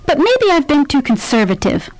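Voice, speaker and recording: A woman reading scripted practice dialogues for a language course, clearly and deliberately. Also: high voice, wildly swooping pitch, no echo. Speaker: dialogue reader. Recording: dry studio sound.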